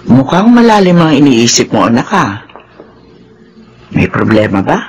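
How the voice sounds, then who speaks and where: A man voicing a caring, knowing middle-aged mother in a Filipino radio drama, husky and expressive. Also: medium pitch, moderate pace, dull audio, over loud music. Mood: concerned, knowing.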